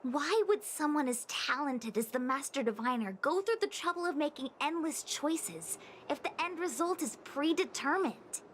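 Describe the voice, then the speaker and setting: A woman playing a spirited, expressive young female diviner in a video game, earnest and loyal. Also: high voice, moderate pace, few pauses, slight background noise. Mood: defiant.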